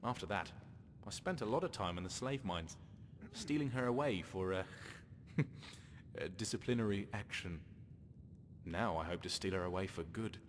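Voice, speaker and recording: A man's voice: fairly low pitch, animated, moderate pace, big-room sound, slight background noise, close to an everyday voice. An actor playing a sly, scheming male hunter who poses as a slaver, talking wryly in a game. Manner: wry, scheming, conversational. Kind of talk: game character dialogue.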